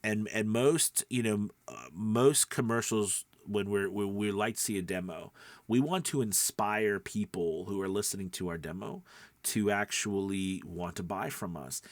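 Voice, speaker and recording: A man's voice: on the low side, unhurried, no room sound. A man giving an informal tutorial as a voice-over coach, talking in a rambling, conversational way and often checking in with the listener. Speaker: voice-over coach and podcast host.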